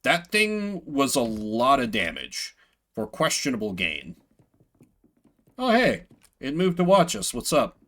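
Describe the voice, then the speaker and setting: Animated, bright-voiced man streaming a game. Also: medium pitch, slow, long pauses. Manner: animated, playful, sweary. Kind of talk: live game commentary, reading text aloud and chatting with viewers. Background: video game music.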